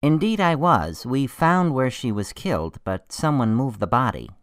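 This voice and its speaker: Earnest worried medical examiner for a radio play, very up and down, mid pitch. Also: male voice, sing-song, normal speed, a bit bright, dry recording, studio quality. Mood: worried, explaining. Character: earnest, anxious male medical examiner consulting a detective. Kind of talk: radio drama acting.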